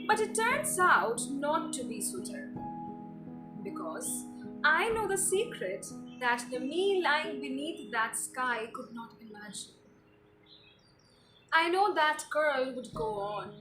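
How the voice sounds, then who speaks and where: A woman giving a dramatic reading of literary prose, speaking deliberately with expressive pauses. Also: sits high. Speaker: amateur reader performing a dramatic reading. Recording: roomy echo, distant mic, loud music behind. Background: loud instrumental music.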